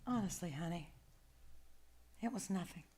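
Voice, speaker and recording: A woman's voice: on the low side, animated, moderate pace, breathy edge. A woman playing a kindly but anxious caretaker, soothing and putting on cheer, in old-time radio drama style. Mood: evasive, soothing. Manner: gentle, soothing, forced cheer over distress. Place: live on a small-town theater stage. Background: music.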